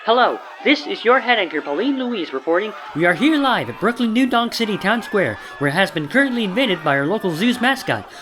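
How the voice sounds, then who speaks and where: A woman playing a brisk, easily alarmed TV news anchor in a comic audio play, delivering a mock report. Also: mid-range voice, sing-song, a bit bright, a little hiss or hum. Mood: brisk, professional.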